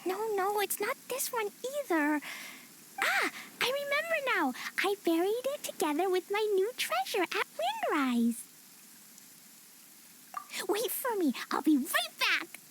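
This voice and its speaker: Naive, excitable little girl for a game: tiny high voice, big melodic swings, faint background noise. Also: measured pace, exaggerated voice. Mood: eager, searching. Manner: childlike, excitable, simple words. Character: cheerful, naive, rash little girl adventurer. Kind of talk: English video game voice acting.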